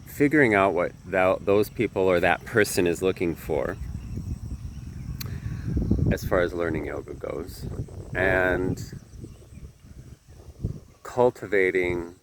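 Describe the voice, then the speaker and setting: A man with a very low, slightly nasal voice talks casually about teaching yoga. He rambles in an earnest way and keeps correcting himself as he goes. Faint crickets and outdoor country ambience sit behind him. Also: slow, pauses often, background noise. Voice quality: nasal from a sinus infection. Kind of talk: casual talk to camera.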